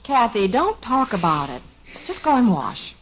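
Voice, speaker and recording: A woman's voice: on the high side, sing-song, a little slow, noisy background. A patient, soothing mother. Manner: soothing, sensible. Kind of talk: 1950s radio sitcom acting. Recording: dull old radio recording.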